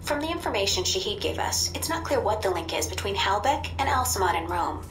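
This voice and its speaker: An actress playing a composed, wry intelligence handler in a video game, with a measured, matter-of-fact manner. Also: female voice, medium pitch, very animated, a bit bright. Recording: roomy sound with music behind.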